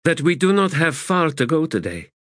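A man's voice: on the high side, very animated, normal speed, a bit bright, no echo, studio quality, voice pushed up. A man voicing a no-nonsense female army commander who speaks in a clipped, commanding way. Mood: firm.

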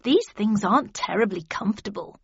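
A woman's voice: mid-range voice, animated, normal speed, gravelly, slightly rough, no room sound, lo-fi sound, heavily acted. A woman voicing a childishly exuberant, vain young woman who chatters in a gushing, girlish way. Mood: crestfallen, wistful.

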